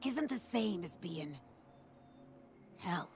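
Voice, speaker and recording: Blunt, tough ghoul woman from Pittsburgh for a game, very low and gravelly. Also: expressive, slow pace, over music. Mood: somber. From Pittsburgh, USA. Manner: blunt, wry, plain-spoken. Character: tough gruff ghoul woman, factory worker survivor. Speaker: game character. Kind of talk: video game dialogue.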